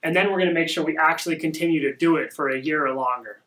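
A young man hosting a casual podcast at home, talking in a rambling, upbeat way with a soft voice, recorded by a camera across a small room. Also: fairly high pitch, fast, low-quality recording. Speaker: podcast host. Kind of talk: casual marketing podcast talk.

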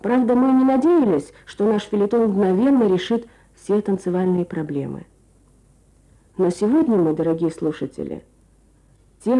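A woman's voice: medium pitch, mellow, rough recording. A woman presenting a scripted Soviet radio programme, reading rhetorically.

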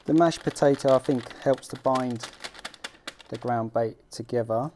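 A middle-aged man with a British accent hosting an informal homemade how-to vlog, talking in a halting, self-deprecating way as he works. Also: medium pitch, darkish tone, slight background noise. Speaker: fishing vlog host. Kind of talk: casual how-to demonstration. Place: small room at home, indoors.

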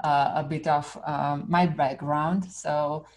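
A woman, speaking on a panel over a video call from home and pausing often with "um" and "uh". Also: low voice, little pitch movement. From Romania. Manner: hesitant, many "um" and "uh" fillers. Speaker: panelist, researcher. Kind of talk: academic panel talk. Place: home room. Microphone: laptop webcam on a video call.

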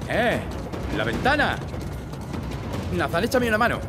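A man's voice: sits high, sing-song, unhurried. A man voicing an urgent, street-smart adventurer and protective older brother in a video game, exclamatory, with a Castilian Spanish accent. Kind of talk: dramatic video game voice acting, Spanish dub. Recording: loud music behind.

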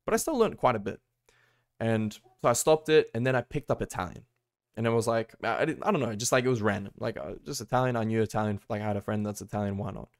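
A man's voice: on the low side, expressive, fast talker. A young man from Australia, casually vlogging about learning languages from his bedroom, rambling with lots of fillers. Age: young adult. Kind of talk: casual talking-head vlog. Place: small bedroom, indoors.

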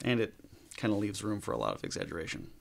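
An actor in his thirties playing a calm young pastor in a training film, explaining in a measured way. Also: on the low side, vocal fry, exaggerated voice. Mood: instructive. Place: small meeting room.

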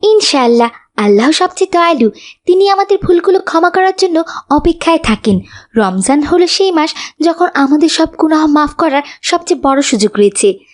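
A woman's voice: high-pitched, animated, normal speed. A woman voicing a humble, devout young girl who advises earnestly in a cartoon. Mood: reassuring. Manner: earnest, instructive.

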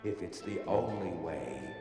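A man playing a grave, urgent, selfless cherubim creature in an audio drama, speaking deliberately. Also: sits low, expressive. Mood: solemn. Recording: echoey hall reverb, music behind.

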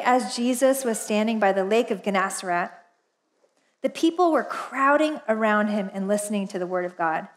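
A woman in her forties, a pastor, giving a casual, chatty sermon from a church stage with lots of laughter and fillers. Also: mid-range voice. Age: 40s. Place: church stage, large indoor auditorium.

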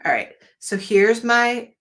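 A woman's voice: on the high side, lively intonation, slow, fairly bright tone. A middle-aged woman chatting casually with viewers on a live art stream, rambling and talking straight to them, losing her voice a little. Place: home art studio, indoors.

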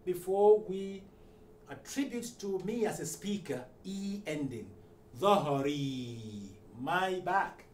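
A middle-aged man teaching a language lesson at a whiteboard. He goes slowly, repeats each phrase several times, and says the model phrases louder and higher. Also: expressive. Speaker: Arabic teacher. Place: room in front of a whiteboard. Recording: roomy, distant, faint hiss.

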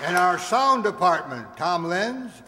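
A man in his seventies reading out cast credits on a stage in a deliberate, theatrical announcer's manner. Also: sits high, big pitch swings, a loud crowd. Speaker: announcer of a radio players group. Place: stage of a community hall. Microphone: stand microphone on stage, recorded by audience camera. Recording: echoey hall, audience noise, hiss.

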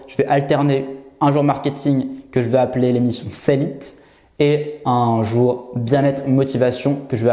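A young man in his twenties talks straight to camera in a small bare room, often stumbling over his words and restarting. His voice is mellow and warm, with a roomy echo around it. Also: medium pitch. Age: 20s. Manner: hesitant, many fillers and restarts. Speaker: YouTuber. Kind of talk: unscripted vlog talking to camera.

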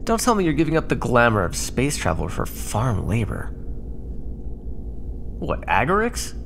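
A man playing a prickly, sarcastic starship crewman in an ASMR roleplay, talking with quick-tempered exasperation. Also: medium pitch, vocal fry, a little hiss or hum.

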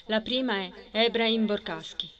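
A woman plays an emotional star singer in a film dub. Her voice is fairly high and bright, with a forceful edge. She sounds protective and deeply invested. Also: normal speed, highs cut off, lightly acted. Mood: flustered, grateful. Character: emotional, protective female award-winning singer. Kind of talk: amateur film dubbing.

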